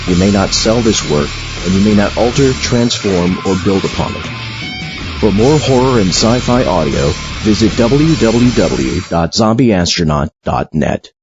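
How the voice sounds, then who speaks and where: Male announcer for closing credits, low register, formal scripted read over a guitar bed. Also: expressive, unhurried. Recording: dull audio, loud guitar music behind. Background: plucked guitar music.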